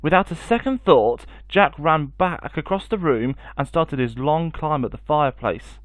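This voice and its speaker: A man's voice: fairly high pitch, expressive, moderate pace, no echo. A man reading a children's story expressively as a storyteller.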